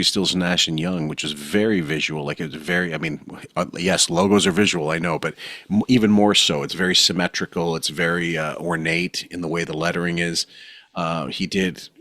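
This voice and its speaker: A man's voice: low voice, fairly bright tone, no echo, clean studio sound. A man hosting a podcast, talking casually and rambling, with many fillers and laughs. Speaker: podcast host.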